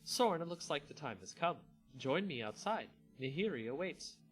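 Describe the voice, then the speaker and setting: Fantasy storybook vampire hostess, played by a man: high lilting pitch, playfully theatrical, sweetly polite menace, music behind. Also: sing-song, normal speed. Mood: giddy. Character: dainty, giddy, floating female vampire aristocrat hostess. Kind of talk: dramatic fantasy story reading.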